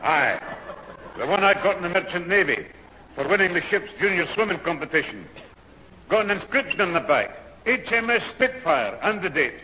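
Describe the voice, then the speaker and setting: A man's voice: high-pitched, very animated, normal speed, bright, forceful tone, heavily acted. A man playing a grumpy, exasperated old grandfather in a 1970s radio sitcom. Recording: old hissy radio broadcast, roomy hall.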